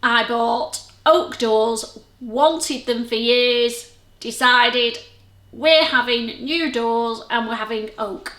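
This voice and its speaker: A woman's voice: fairly high pitch, slow pace, fairly bright tone. A middle-aged woman with a Lancashire accent, chatting to camera in a friendly, rambling, self-deprecating way about her sewing vlog. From Lancashire, England. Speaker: sewing vlogger. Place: at home. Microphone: camera-mounted microphone. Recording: echoey room, camera mic.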